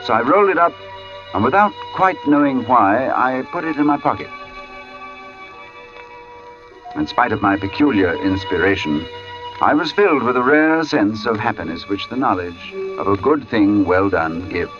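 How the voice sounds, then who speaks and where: An actor playing a haunted artist narrating an eerie tale in a deliberate, brooding 1940s radio drama style, with dramatic pauses. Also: male voice, medium pitch, expressive, lightly acted. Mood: dreamy, restless. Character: haunted artist narrating his eerie walk in oppressive heat. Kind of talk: 1940s radio thriller acting, first-person narration. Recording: muffled vintage radio recording with music.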